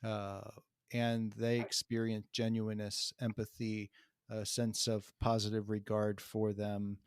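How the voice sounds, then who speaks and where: A man's voice: on the low side, monotone, measured pace, clear, resonant tone, no echo, clean studio sound. A man hosting an interview, asking long questions with a lot of hesitation and fillers. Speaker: interview host.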